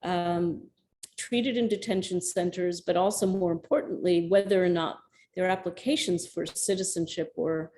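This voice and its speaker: A woman's voice: fairly low pitch, mellow. A woman lecturer answering an audience question over a video call, speaking thoughtfully with frequent restarts. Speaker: guest lecturer.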